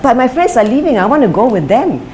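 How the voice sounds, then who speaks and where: A middle-aged woman answering interview questions thoughtfully, pausing with fillers and restarting her sentences. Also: on the high side, big pitch swings, darkish tone. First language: English is her first language; Chinese is her second. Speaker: interviewee, former sprinter and coach. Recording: light background noise.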